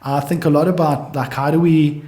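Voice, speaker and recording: A man chats as a podcast guest, working his ideas out aloud in halting restarts. His voice sits a touch high for a man. The room around him rings with echo. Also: steady tone, warm tone. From South Africa. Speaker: guest economist. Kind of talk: casual podcast conversation.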